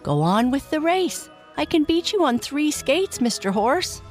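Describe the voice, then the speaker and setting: A boisterous storybook toy elephant, acted out by a woman reader, pitched up high and swooping with eagerness. He is a game, sporting chap who challenges his rivals cheerfully, over clear background music. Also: sing-song, measured pace. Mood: eager, sporting.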